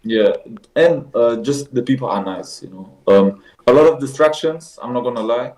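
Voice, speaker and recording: A man's voice: medium pitch, normal speed, poor audio. A man in his twenties from Belgium, a football player guest, talking casually with many fillers. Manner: relaxed, lots of fillers like "like" and "uh". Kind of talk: casual interview.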